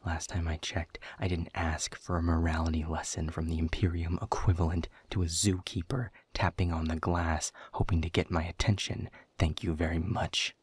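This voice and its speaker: A man playing a weary, bitter demon captive in an audio roleplay, speaking in a husky, breathy voice with measured, sardonic formality. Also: deep voice, level tone. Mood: angry, scornful. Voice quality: husky, breathy, often dropping toward a whisper. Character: weary, bitter, guarded male demon captive, quietly defiant. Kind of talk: scripted audio roleplay monologue.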